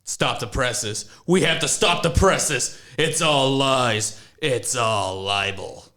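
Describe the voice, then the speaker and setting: Guy playing an earnest student, bright tone, slow talker. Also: mid-range voice. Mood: urgent.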